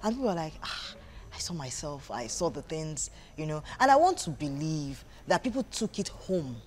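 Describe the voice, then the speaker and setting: A woman's voice: deep voice, big pitch swings, moderate pace, a little mellow, a little hiss or hum. A woman with a Nigerian accent speaking as a guest in a conversational interview, earnest and repeating phrases for emphasis. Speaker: interview guest, stage play director.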